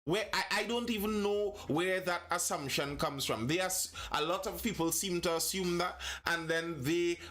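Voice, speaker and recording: Trinbagonian man hosting a live talk show, a bit high-pitched, thoughtful, corrects himself mid-sentence. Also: normal speed, few pauses, bright, forceful tone. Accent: Trinidad and Tobago. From Trinidad. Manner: reflective, restarts and self-corrections. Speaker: host.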